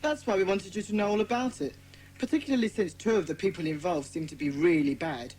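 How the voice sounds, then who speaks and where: A woman's voice: lowish voice, fast talker, a little mellow, slight background noise, natural voice, low-quality recording. A woman voicing an earnest, well-spoken British schoolboy in a children's audiobook drama. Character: Peter, earnest schoolboy leader of a children's detective club.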